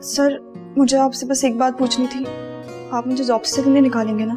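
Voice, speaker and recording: An actress playing an eager, anxious secretary who speaks deferentially, in a comedy drama. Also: female voice, high voice, steady tone, moderate pace, music in the background, natural voice. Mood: apologetic. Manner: deferential, addresses superior as sir constantly.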